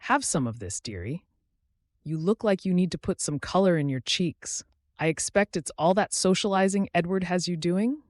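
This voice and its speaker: A man's voice: high-pitched, lively intonation, normal speed, no room sound, clean studio sound, pitched above the performer's own voice. A man voicing a kindly, bashful older woman, a folksy frontier cook in an audiobook. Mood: motherly. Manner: folksy, dropped g's, homely endearments.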